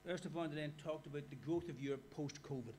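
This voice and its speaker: Irish man lecturing a workshop, higher voice. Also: quick pace, darkish tone, a little hiss or hum. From Ireland. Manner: conversational, asks the audience questions. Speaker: guest lecturer. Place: lecture hall.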